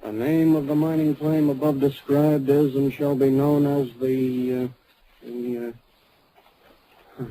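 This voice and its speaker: An actor playing a polite middle-aged county clerk, genial and a bit fussy, in a 1940s radio drama. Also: male voice, medium pitch, little pitch movement, slow, pauses often, dark tone, natural voice, poor audio. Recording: old radio recording with faint noise.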